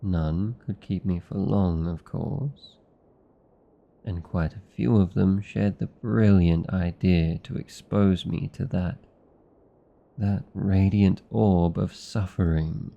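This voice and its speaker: Casting: male actor as weary, aristocratically haughty vampire lover, British accent, gravelly voice, intimate ASMR roleplay delivery, slightly dull recording. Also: deep voice, fairly even intonation, exaggerated voice. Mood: exasperated, scolding. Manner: slow, deliberate, theatrical, condescendingly affectionate.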